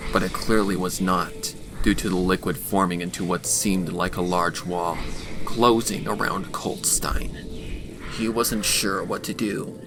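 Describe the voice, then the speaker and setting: Male narrator for dramatic scripted fiction, lowish with heavy fry, music underneath. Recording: music behind the voice.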